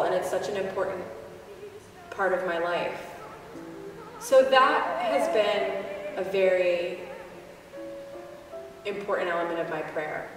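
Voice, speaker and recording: A woman in her thirties, an opera singer, talking thoughtfully and candidly about her work in a church sanctuary, filmed from a distance. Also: mid-range voice. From Boston. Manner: thoughtful, candid, self-deprecating. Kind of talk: reflective interview. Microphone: camera at a distance. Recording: echoey church heard from a distance.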